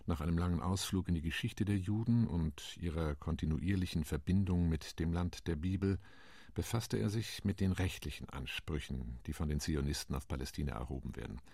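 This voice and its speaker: An actor playing a Latin American UN delegate recounting committee intrigue in a radio play monologue, with a measured, ironic telling. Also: male voice, sits low, vocal fry, warm tone, slightly rough, no room sound, full character voice.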